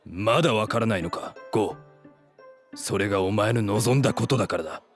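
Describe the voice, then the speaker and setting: A man playing a solemn, literal-minded android in a Japanese superhero audio drama, speaking in a stiff, deadpan manner. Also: fairly low pitch, normal speed, soft background music, lightly acted. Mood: matter-of-fact. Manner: deadpan, stiff, formal phrasing.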